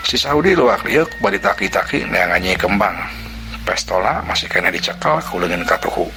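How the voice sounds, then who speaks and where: A man narrating a folk tale in a lively way, full of sound words and whispered asides. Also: sits low, normal speed. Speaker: storyteller. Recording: music bed under narration. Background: background music.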